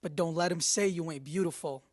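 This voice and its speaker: Male reader, lightly raised pitch, dramatic verse recitation. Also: brisk, a little mellow. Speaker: news reporter reading lyrics. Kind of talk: dramatic spoken reading.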